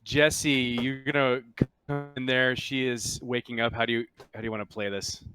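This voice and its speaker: A man's voice: mid-range voice, flat delivery, moderate pace, music in the background, rough recording. A man running a tabletop roleplaying game, narrating and explaining casually to his players as game master.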